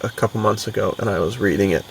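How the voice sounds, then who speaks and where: A man with a gravelly, creaky voice, talking as a podcast co-host in a casual, enthusiastic, slangy way. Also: lowish voice, fast talker, far from the mic, slight background noise, poor audio.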